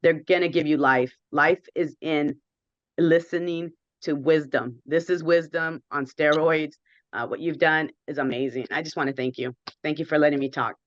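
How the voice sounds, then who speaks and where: A woman in her fifties with a hoarse voice, a guest telling her story earnestly and with emphasis. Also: low voice, fairly even intonation, normal speed, dry recording, dull audio. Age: 50s. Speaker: guest sharing her experience.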